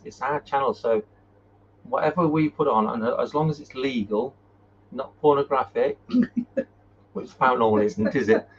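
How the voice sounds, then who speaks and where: A man hosts an informal live chat, reading out comments in a halting, everyday way. His voice sits at a middling pitch. The audio is dull, with faint noise behind it. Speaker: host of a paranormal live chat. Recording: muffled, noisy live-stream audio.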